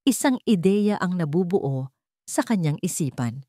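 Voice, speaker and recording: Lowish-voiced woman narrator, highly expressive pitch. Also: measured pace, no echo, clean studio sound. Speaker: story narrator. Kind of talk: scripted dramatic story narration.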